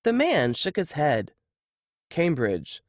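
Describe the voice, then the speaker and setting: A woman's voice: low voice, sing-song, a little slow, dry recording, clean studio sound. A woman reading a novel aloud as scripted third-person narration. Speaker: novelist reading their work.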